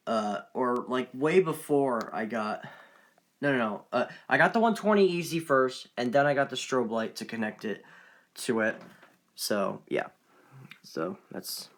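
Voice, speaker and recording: A man presenting his collection in a casual, unscripted video, speaking haltingly and often trailing off. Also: mid-range voice. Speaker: collector presenting weather radios. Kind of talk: casual unscripted show-and-tell.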